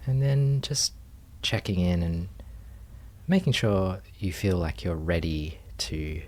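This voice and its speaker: Male meditation guide, deep register, very slow delivery, gentle and spacious phrasing. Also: a little hiss or hum. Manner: gentle, unhurried, pausing between short phrases. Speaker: meditation teacher.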